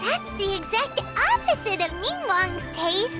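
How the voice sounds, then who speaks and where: A woman voicing a tiny, childlike fairy sidekick in a video game, squeaky, chirpy and blunt. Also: big pitch swings, unhurried, heavily acted. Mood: curious. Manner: refers to self in third person, blunt. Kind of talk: video game cutscene acting. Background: playful music.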